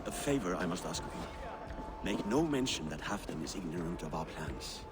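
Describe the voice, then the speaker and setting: An actor playing a cunning, secretive Viking war chieftain who talks in a conspiratorial, commanding way. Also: male voice, deep voice, big pitch swings, brisk, heavy background noise.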